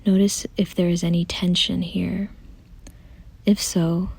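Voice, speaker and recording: A woman softly guiding a meditation in a gentle, calm, unhurried way, often close to a whisper. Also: fairly low pitch, no echo. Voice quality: soft, breathy, often near a whisper. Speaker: meditation guide. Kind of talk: soothing guided meditation, scripted.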